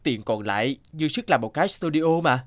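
A man's voice: a little high, lively intonation, moderate pace, no room sound, close to an everyday voice. A man voicing a pleading, impatient young man in a cartoon, with a whining, emphatic way of talking. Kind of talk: cartoon dubbing.

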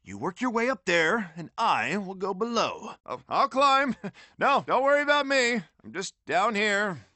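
Game VO: brawny, dim male echidna, bright and swinging, dry booth. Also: high voice, sing-song, measured pace, no echo, full character voice. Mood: boastful. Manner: gruff, boastful, blunt jokes. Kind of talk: video game voice acting. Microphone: studio mic, dry.

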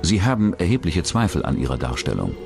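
A man narrates a true-crime documentary in a very low voice. His intonation moves widely up and down, and music plays clearly underneath him. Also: wildly swooping pitch, fairly quick. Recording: dramatic music bed under the voice. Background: background music.

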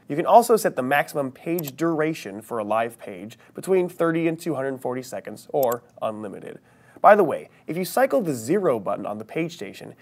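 A man in his thirties narrating a scripted software training tutorial, explaining step by step with emphasis on key terms. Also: on the high side. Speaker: training video presenter.

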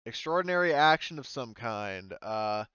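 A man's voice: mid-range voice, expressive, moderate pace, a bit bright, clean tone, no echo, dull audio. A man speaking informally as game master, chatting through a tabletop session in a loose, hesitant way. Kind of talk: casual tabletop game chat.

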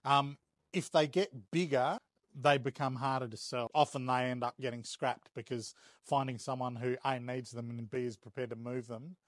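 A man in his fifties with an Australian accent, explaining things casually in a measured, matter-of-fact way with frequent hesitations. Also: mid-range voice, fairly even intonation, no echo. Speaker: auction house operator. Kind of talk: casual explanatory interview talk. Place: indoors, warehouse auction rooms and workshop.

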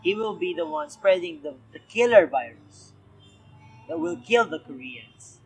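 A young Filipino man speaks in an interview with a Filipino accent, slowly and earnestly. His voice sits slightly high, and his sentences trip over repeated restarts as he shifts between Tagalog and English. Soft music plays faintly under him. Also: expressive, breathy edge, low-quality recording. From Philippines. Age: young adult. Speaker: actor. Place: indoors in a cinema with red seats.

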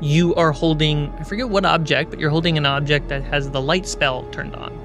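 A man narrating a tabletop game as game master, telling the story deliberately and dramatically with pauses. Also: on the high side, fairly even intonation. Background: background music.

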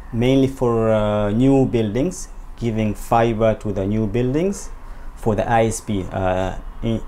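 Mellow man from Zanzibar, halting delivery. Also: medium pitch. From Zanzibar, Tanzania. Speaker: interview guest, company owner. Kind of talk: interview answers, explaining. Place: small indoor office, seated at a desk. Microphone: lapel. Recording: clear background noise, room ambience.

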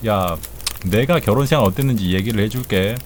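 A man's voice: lowish voice, fairly quick, a little hiss or hum. A man in his forties, a game streamer, chatting casually with viewers in a joking, rambling way with blunt swearing. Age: 40s. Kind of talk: casual live-stream chat while gaming.

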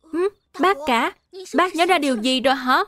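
A woman's voice: high-pitched, animated, moderate pace, a bit bright. A woman playing an earnest, caring little boy in a dubbed children's cartoon. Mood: curious, eager.

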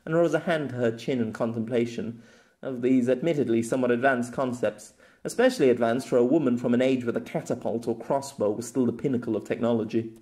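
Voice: medium pitch, fast, dark tone. A narrator reading a scripted novella aloud as an audio story.

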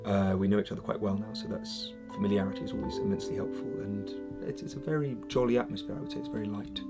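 A man in his thirties, an actor, giving measured answers in an interview. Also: lowish voice. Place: studio room, indoors. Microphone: lapel mic. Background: music.

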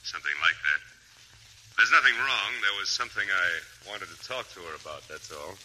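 An actor playing a nervous, hesitant reporter held at gunpoint, in 1940s radio acting. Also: male voice, deep voice, normal speed, bright, forceful tone. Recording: old noisy radio broadcast.

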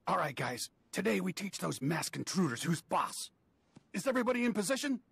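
An actor playing a friendly, take-charge toy cowboy in a video game, folksy and commanding. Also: male voice, high voice, very animated, a little fast, creaky, breathy edge, no room sound, full character voice.

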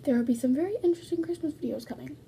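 A teenage girl chatting casually to her phone camera at home, full of fillers and a little hoarse. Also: high voice, rapid-fire, noisy background. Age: teens. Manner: chatty, lots of fillers, upbeat. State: slightly hoarse, losing her voice. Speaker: vlogger. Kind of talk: casual vlog. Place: house, indoors and on a back deck. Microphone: phone camera at arm's length.

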